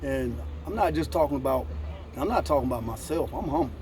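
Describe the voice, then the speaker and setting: An American man hosting a casual outdoor conversation, relaxed and repeating his phrases. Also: medium pitch, fast, noisy background. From United States. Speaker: host.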